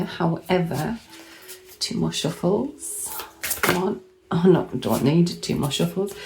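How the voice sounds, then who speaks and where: A woman, hoarse from a lost voice, giving a chatty tarot card reading and thinking aloud as she pulls cards. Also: on the low side, mellow, slight background noise, rough recording. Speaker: tarot reader.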